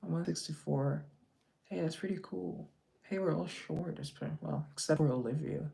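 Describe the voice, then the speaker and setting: A man chats casually on a live stream, answering viewer comments with playful teasing and quick jokes. His voice sits somewhat high for a man and carries a gravelly, creaky edge. It is picked up on a phone mic. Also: mellow. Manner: teasing, joking, chatty.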